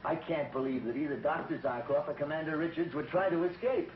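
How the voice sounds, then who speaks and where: A man playing a confident young space hero in a 1950s TV drama, brisk and reasoning. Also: a little high, close to an everyday voice. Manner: brisk, reasoning, matter-of-fact. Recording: dull old TV print with hiss.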